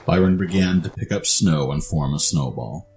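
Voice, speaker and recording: A man's voice: low-pitched, animated, moderate pace, vocal fry, a little mellow, a little hiss or hum. A man reading scripted story narration for an audio drama.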